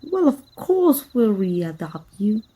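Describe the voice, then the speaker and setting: A woman from Mexico playing a sly, taunting, manipulative female vampire hunter and estranged sister in an ASMR roleplay, speaking with deliberate, mock-sweet menace. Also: medium pitch, sing-song, mellow, breathy edge, heavily acted. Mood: coaxing.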